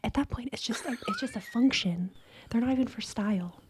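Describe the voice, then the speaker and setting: A woman co-hosting a podcast in a soft, breathy ASMR-style whisper, chatting close to the microphone. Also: fairly high pitch, expressive, fairly quick, background noise. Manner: whispering and speaking softly. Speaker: podcast co-host.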